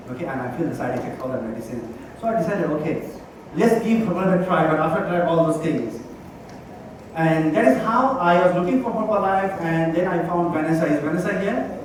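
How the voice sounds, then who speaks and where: A man from Bangladesh with a Bangladeshi accent gives an informal, emphatic promotional talk to a live audience, often checking in with "okay" and "right". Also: a little high. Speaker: doctor speaking as a product distributor. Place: large echoing room at a live event. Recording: very echoey hall, distant mic, noisy.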